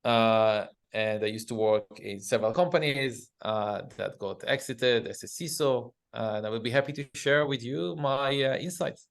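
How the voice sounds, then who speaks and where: A middle-aged man with an Israeli accent speaks as a podcast guest, his pitch sitting in an ordinary middle range. He talks in a halting, searching way, full of "uh" fillers, with non-native phrasing. He answers at length, explaining things to the host. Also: gravelly. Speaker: guest, cybersecurity company CEO. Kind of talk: casual interview answers. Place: office.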